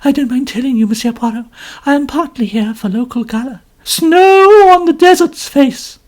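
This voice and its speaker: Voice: sits high, moderate pace, voice pushed up, close to an everyday voice. A narrator putting on a gushing, pompous lady novelist. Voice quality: complaining, melodramatic.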